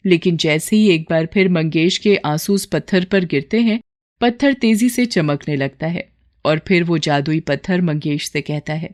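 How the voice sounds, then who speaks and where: A woman narrates a scripted story in a somewhat low voice. She works as a storyteller, carrying the tale from scene to scene. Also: lively intonation, moderate pace, darkish tone.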